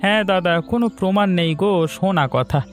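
A man's voice: high-pitched, fast talker, soft background music, natural voice. A man voicing a loyal, cautious village friend in a cartoon, earnest and respectful. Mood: confiding. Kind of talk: dramatic cartoon dialogue.

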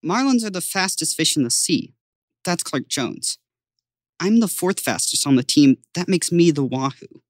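A woman's voice: deep voice, lively intonation, moderate pace, no room sound, clean studio sound. A woman playing an earnest, literal-minded, matter-of-fact young boy in an audiobook. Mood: earnest, dry. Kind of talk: full-cast audiobook acting.

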